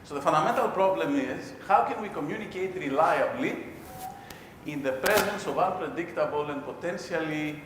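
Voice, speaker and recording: A man giving an academic lecture as an invited professor, measured and explanatory, with frequent fillers. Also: a little high, fairly bright tone, slight background noise. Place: large lecture hall. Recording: echoey hall with faint ambience.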